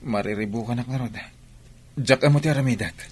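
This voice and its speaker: An actor with an Ilocano Filipino accent playing a worried, pushy young student short of money in a radio drama. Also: male voice, mid-range voice, fairly quick, breathy edge, close to an everyday voice.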